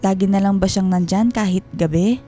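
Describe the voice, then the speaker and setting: An actress playing an affectionate, homesick and quietly suspicious wife on a call in a radio drama, with a Filipino accent. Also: female voice, medium pitch, moderate pace, natural voice. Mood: guarded, probing. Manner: loving, probing, wistful. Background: music.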